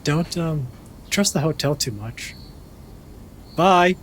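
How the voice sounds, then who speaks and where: A man's voice: fairly high pitch, expressive, slow, slight background noise. A man playing an awkward, caring young man in an audio drama, speaking hesitantly and with caution. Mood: hesitant, concerned.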